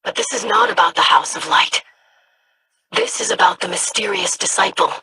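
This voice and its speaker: Studious young alien scholar. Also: female voice, mid-range voice, huge pitch swings, sharp tone, breathy edge, exaggerated voice. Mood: thoughtful. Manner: measured, studious. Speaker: researcher.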